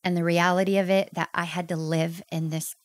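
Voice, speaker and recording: A woman's voice: lowish voice, level tone, fairly quick, dry recording. A woman in her thirties, a podcast guest, telling her personal story in an earnest, heartfelt way. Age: 30s. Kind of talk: candid personal interview.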